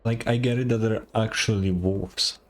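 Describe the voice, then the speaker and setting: A man's voice: on the low side, steady tone, moderate pace. A man giving casual game commentary as a streamer, musing in a laid-back, hesitant way.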